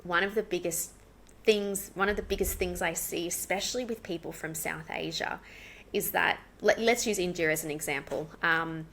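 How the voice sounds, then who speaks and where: Fast-talking Australian woman, gravelly. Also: on the low side, slight background noise. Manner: fast and animated. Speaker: English coach, podcast guest. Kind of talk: casual interview chat.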